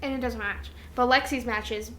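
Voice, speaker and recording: A woman's voice: on the high side, fairly even intonation, normal speed, bright, forceful tone. A young woman co-hosting a podcast, chatting casually with a friend and explaining things informally, acting out quotes as she goes. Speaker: podcast co-host. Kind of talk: casual chat between friends. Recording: roomy echo, faint background noise.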